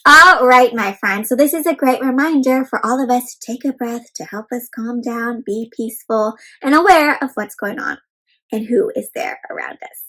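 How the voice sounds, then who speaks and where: Woman, high voice, children's read-aloud host, animated storytelling delivery, interactive, talks straight to kids. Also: moderate pace, low-quality recording. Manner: animated, expressive, addressing children directly with tag questions.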